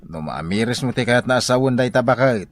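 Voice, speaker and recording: An actor with an Ilocano accent playing a weary, grumbling husband in a comedy radio drama. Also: male voice, mid-range voice, animated, fairly quick, dry recording, studio quality, lightly acted. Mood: teasing, exasperated. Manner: grumbling, exasperated.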